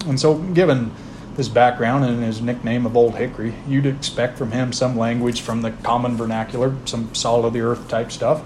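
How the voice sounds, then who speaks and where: A man hosting a podcast, talking through history in a plain, unhurried way with frequent "uh" fillers and restarts. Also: mid-range voice, steady tone, noisy background. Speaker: podcast host. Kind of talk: informal history talk.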